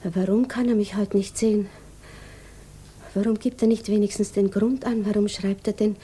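Actress doing a soft breathy lovesick woman, anxious and yearning, mellow, old radio play style. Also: medium pitch, natural voice. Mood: hurt, doubting. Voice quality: breathy, often dropping to a whisper. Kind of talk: 1950s German radio drama acting.

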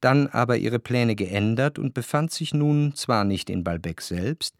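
An actor playing a reflective, grieving narrator in a literary radio drama, with measured, introspective and deliberate phrasing. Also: male voice, medium pitch, close to an everyday voice. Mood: matter-of-fact. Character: reflective, grieving male narrator remembering his grandmother.

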